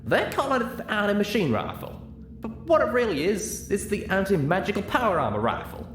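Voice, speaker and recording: Guy doing a folksy older pony lady for a story, worked up and emphatic, way high, big swings, roomy sound. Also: very animated, brisk, a bit bright, slight background noise. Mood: furious, anguished. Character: furious, heartbroken older pony mare, country-talking ministry boss.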